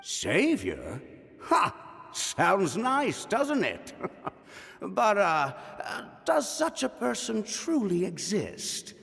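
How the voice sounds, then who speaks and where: A man playing a teasing, folksy old-timer with a gravelly voice in a video game. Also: sits high, huge pitch swings, extremely slow, a bit bright, exaggerated voice. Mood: mocking, teasing. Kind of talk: scripted video game acting. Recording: long reverb with game music.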